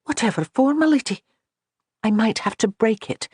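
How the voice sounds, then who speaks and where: Audiobook figure: fussy young maid, very high and bouncy, read by the narrator. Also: female voice, lively intonation, a little slow, darkish tone, breathy edge, no echo, clean studio sound. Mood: fretful. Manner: fussy and fretful. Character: eager young female maidservant.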